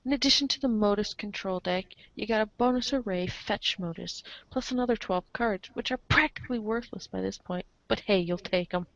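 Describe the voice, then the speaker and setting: A woman narrates a comic read-along with theatrical playfulness. She drops into casual asides as she goes. Her mid-pitched voice is recorded dry and close, with a somewhat dull, lo-fi sound. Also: fairly quick, hardly any pauses, a bit bright, no echo. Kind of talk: animated dramatic reading with casual asides.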